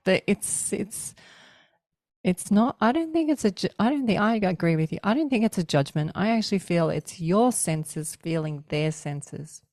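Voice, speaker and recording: A woman's voice: medium pitch, animated, normal speed, darkish tone, no room sound, studio quality. A woman who is a guest in a spiritual conversation, speaking reflectively and often searching for her words.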